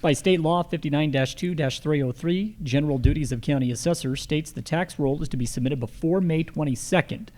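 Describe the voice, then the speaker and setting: A man's voice: fairly high pitch, fairly quick, hardly any pauses, darkish tone. A man moderating a candidate forum, reading questions formally and courteously. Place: live public forum on a college campus.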